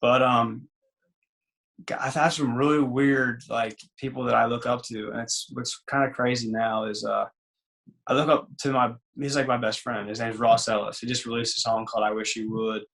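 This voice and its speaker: A man in his twenties with a raspy voice, a singer and former ballplayer chatting loosely as an interview guest, full of fillers and restarts. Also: medium pitch, little pitch movement, moderate pace, a bit bright, rough recording. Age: 20s. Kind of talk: casual interview chat.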